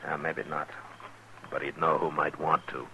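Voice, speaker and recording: An American actor playing a watchful, lonely frontier marshal in a radio western, with terse, measured delivery. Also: male voice, very deep voice, little pitch movement. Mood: calm, terse. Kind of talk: 1950s radio acting. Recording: muffled, noisy 1950s radio recording.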